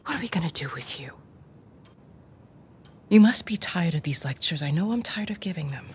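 A woman playing a stern, weary nun lecturing in a measured, reproachful way in a video game cutscene. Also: on the low side, lively intonation, breathy edge. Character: stern, weary nun scolding a boy in her care.

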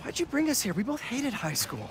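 A man's voice: very high-pitched, wildly swooping pitch, a little fast, a little hiss or hum, heavily acted. A man playing an earnest, good-natured young American in a video game, slightly awkward, talking casually like an old friend. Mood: curious, amused. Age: young adult. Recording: music behind dialogue.

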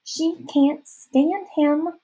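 A woman's voice: high-pitched, fairly even intonation, very slow, dark tone, pitched above the performer's own voice, low-quality recording. A woman voicing a sly young girl in an audiobook, speaking in a confiding, pointed way. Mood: suspicious, confiding. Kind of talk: audiobook character voice.

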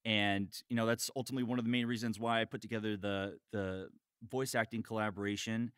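A man hosts a casual podcast in a bright, forceful voice, recorded dry and close. He is chatty and excitable and swears freely. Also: lowish voice, fast talker, clear, resonant tone, no room sound. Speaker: podcast host, voice actor. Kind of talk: casual chat, hosting an online podcast.

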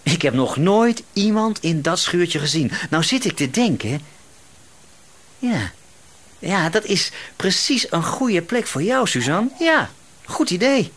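An actor playing an eccentric, misanthropic middle-aged shopkeeper in a radio drama, musing to himself in a rambling, gloating way. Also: male voice, high-pitched, very animated, takes it slowly, a bit bright, full character voice. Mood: gloating menace.

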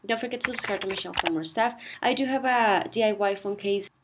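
A woman talks through a craft project in a loose, chatty voice-over, filling her sentences with easygoing asides. She is getting over a cold, so her voice sounds a touch stuffy and off. Her pitch sits in an ordinary middle range. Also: fast, noisy background. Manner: chatty, relaxed, lots of "like" and "you know". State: recovering from a cold. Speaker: DIY craft channel host. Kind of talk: casual voice-over tutorial. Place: voice-over, not recorded on camera. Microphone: not her usual voice-over mic.

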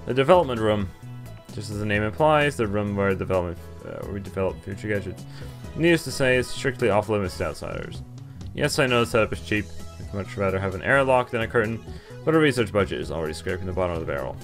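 Young man, lowish voice, game playthrough host, read-aloud narration, casual asides, soft music behind. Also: expressive, fast talker. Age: young adult. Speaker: gaming video host. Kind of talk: reading game text aloud with casual comments.

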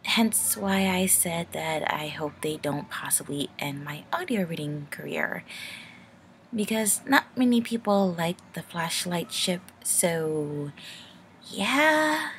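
A woman's voice: fairly low pitch, animated, slow, fairly bright tone, a little hiss or hum. A young woman giving a casual, rambling channel update in a hesitant, apologetic, nervous way. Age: young adult.

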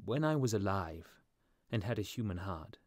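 A man voicing a gentle, sorrowful statue of a dead prince in a storybook, musical and mournful. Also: expressive, no room sound, studio quality. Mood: wistful, sorrowful. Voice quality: low, musical. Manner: slow, gentle, mournful, pleading.

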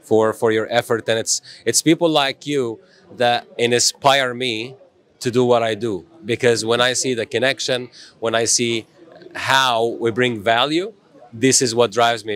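A man's voice: mid-range voice, fairly even intonation, normal speed, fairly bright tone. Upbeat motivational man hosting a stream. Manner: upbeat, motivational, thanks commenters. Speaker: host. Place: small indoor studio.

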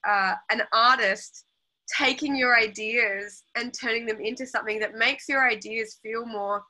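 A woman's voice: on the high side, steady tone, measured pace, sharp tone, rough recording. An Australian woman curator chatting casually on a video call, hesitant, with many restarts. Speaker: exhibition curator. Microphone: laptop video call.

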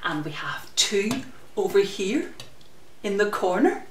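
Slow-paced woman doing children's story time. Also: medium pitch. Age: middle-aged. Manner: expressive, sing-song, drawn-out words addressed to small children. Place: glass-walled conservatory at home. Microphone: camera mic a little way off. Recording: roomy echo, distant mic, faint noise.

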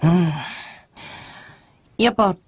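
An actor playing a brash, boyish young dog-like hunter in a Japanese radio drama, with casual, rough speech. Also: mid-range voice, slow, a little hiss or hum. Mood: earnest, indignant.

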